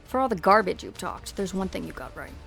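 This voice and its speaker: Actress as no-nonsense female security chief, game drama, medium pitch, clipped blunt lines, light music bed. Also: big pitch swings, a little fast, vocal fry, a bit bright. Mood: stern, defiant. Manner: terse, blunt. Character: stern, duty-bound female security director. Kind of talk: fan-dubbed game drama dialogue.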